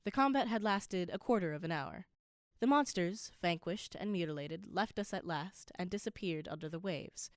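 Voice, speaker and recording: A woman's voice: lowish voice, moderate pace, no room sound, clean studio sound, natural voice. A woman playing an earnest scholar who narrates an undersea voyage in a radio play, with precise, literary, dramatic delivery. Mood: dramatic, urgent.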